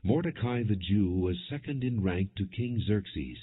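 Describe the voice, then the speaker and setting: A man reading scripture as an audiobook narrator, in a measured, formal, non-dramatized style. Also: lowish voice, lively intonation, slightly rough. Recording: muffled phone-like lo-fi audio.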